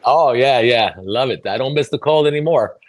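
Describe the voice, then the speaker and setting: A man's voice: mid-range voice, moderate pace, dry recording. A middle-aged man raised in New York City, born in El Salvador, explaining things earnestly as an interview guest. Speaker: podcast guest, mobility fitness trainer. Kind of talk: conversational interview answers.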